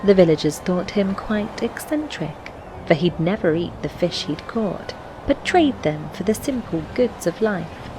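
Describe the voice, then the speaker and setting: A woman with a fairly low voice narrates a fantasy game tale in rhyming verse. Her delivery is measured and poetic, like recited storytelling. Music plays clearly behind her. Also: poor audio. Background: background music.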